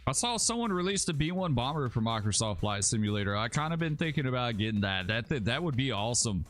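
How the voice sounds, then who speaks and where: A man's voice: mid-range voice, very animated, fast, a bit bright, faint music behind. A man from Alabama, casually commentating his live stream, rambling and chatting with viewers. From Alabama, USA. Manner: laid-back, rambling, chats with viewers, says dude and bro. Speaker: livestreaming gamer host.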